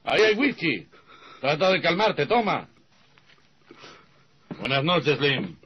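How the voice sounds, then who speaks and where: A man's voice: on the high side, very animated, slow. An actor playing a gangster looking after his partner in a radio drama. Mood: soothing. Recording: dull, faintly noisy old radio recording.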